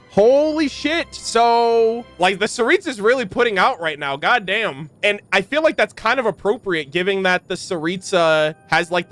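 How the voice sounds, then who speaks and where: Very high-pitched man, casual gaming commentary. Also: a bit bright. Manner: informal, slangy, swearing. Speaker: gaming reaction video host.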